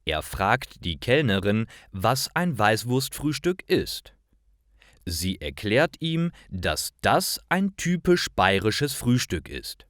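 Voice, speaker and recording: A man reading scripted short stories for language learners in a deliberate, carefully articulated and expressive way. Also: fairly low pitch, dry recording, studio quality. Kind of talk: scripted narration, slow storytelling for language learners.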